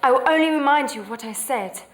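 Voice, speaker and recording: A woman's voice: high-pitched, quick pace, close to an everyday voice. A woman playing a young, coquettish and indignant lady in a dramatized memoir, with emphatic, haughty period speech. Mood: reproachful.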